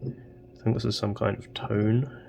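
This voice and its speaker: A man talks very slowly in a gravelly voice, narrating hands-on tinkering. He pauses and thinks aloud as he goes. A clear hum and noise sit behind him. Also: on the low side, level tone, warm tone. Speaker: host of electronics tinkering videos. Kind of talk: casual hands-on workbench narration.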